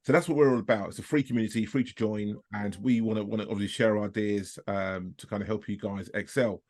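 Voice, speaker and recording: A man hosting an online community event on a video call, introducing the session in a halting way and repeating words often. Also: lowish voice, fairly even intonation, a little mellow, dry recording. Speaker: host of a data community event.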